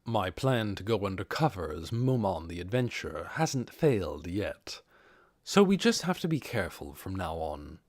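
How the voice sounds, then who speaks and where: Man voicing a deep, husky, composed overlord. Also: sing-song, normal speed, no room sound, clean studio sound. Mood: measured, calm. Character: composed undead overlord posing as an adventurer, male, commanding.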